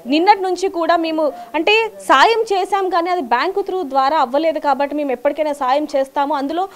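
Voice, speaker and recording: Woman, TV news reporting, high pitch, quick pace, wordy. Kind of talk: live news field reporting.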